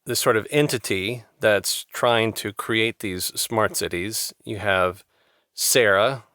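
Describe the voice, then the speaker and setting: A man with a somewhat low, smooth and resonant voice hosts a relaxed interview. He nods along with "sure, sure" and builds loose questions. The sound is dry and close. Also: takes it slowly, no echo. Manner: affirming, with filler-laden questions. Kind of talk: casual interview.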